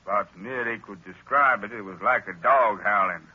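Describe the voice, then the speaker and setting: A man's voice: on the low side, fairly quick, slightly rough, lo-fi sound. A man playing a folksy, plain-spoken rural sheriff on an old radio broadcast.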